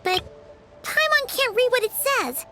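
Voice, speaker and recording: Tiny squeaky fairy kid for an anime game, super high, bouncy and excitable, clear music under it. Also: female voice, a little slow, full character voice. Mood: curious, unsure. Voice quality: squeaky, childlike. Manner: refers to herself in third person, exclamatory. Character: small excitable childlike fairy companion, female, curious and fretful. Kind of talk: anime video game voice acting.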